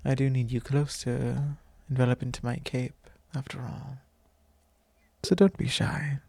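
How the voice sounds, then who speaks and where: Man playing a slow, gravelly vampire lord. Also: mid-range voice, dark tone, no echo. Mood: suave, fond. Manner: unhurried, formal, genteel, with pauses. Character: courtly possessive male vampire lord, long-lived, fond and wistful. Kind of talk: slow intimate ASMR roleplay monologue. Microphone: close microphone, dry.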